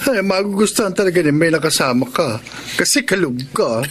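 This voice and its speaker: A man's voice: sits high, animated, a little fast, a crowd in the background. An actor playing a young man's teasing, chummy friend in a Filipino radio drama. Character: young male friend, teasing, egging on a lovestruck buddy. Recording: radio drama with faint noisy ambience.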